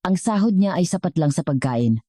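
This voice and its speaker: A woman's voice: fairly low pitch, lively intonation, moderate pace, dark tone, no room sound, clean studio sound. A woman narrating a scripted dramatic story as a storyteller.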